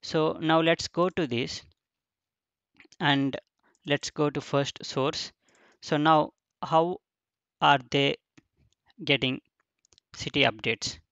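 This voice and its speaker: A man's voice: on the high side, steady tone, takes it slowly, gravelly, long pauses, fairly bright tone, no room sound. Guy explaining a tutorial step by step, lots of uhs, dry dull desk mic. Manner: explains step by step with frequent fillers and restarts. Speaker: instructor. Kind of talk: screen-recorded software tutorial.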